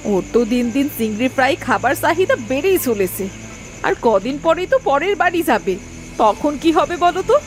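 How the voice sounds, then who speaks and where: Exasperated cartoon mother, acted by a woman, animated pitch. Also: a little fast, faint music behind, poor audio. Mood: worried. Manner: scolding, complaining. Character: exasperated, nagging village mother and wife. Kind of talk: Bengali moral-story cartoon dubbing.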